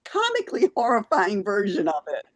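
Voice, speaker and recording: A woman hosting a theater show, chatting with guests and giving spoken reviews in an expressive way. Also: medium pitch, brisk.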